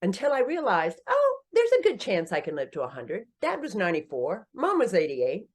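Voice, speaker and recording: A woman in her sixties plays a chatty author telling stories in a podcast interview, wry about her own age and laughing at her own jokes. Her voice sits in a middle register and swoops up high for punchlines. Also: normal speed. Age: 60s. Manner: animated, self-deprecating, laughs often, pitch leaps when joking. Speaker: podcast guest, author and company founder. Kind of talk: casual interview storytelling.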